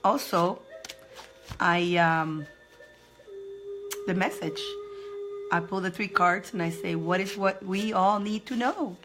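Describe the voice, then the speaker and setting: A woman's voice: mid-range voice, takes it slowly, pauses often, music in the background, rough recording. Chatty, upbeat woman reading cards live. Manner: chatty and upbeat, greets viewers by name, laughs often; repeats phrases for emphasis. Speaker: spiritual card reader hosting a live stream. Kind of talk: casual live-stream card reading.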